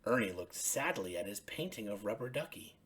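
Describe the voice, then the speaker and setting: A woman storyteller reading a children's bedtime story expressively, in simple, deliberate phrases. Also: rumbling low, lively intonation, a bit bright, a little hiss or hum.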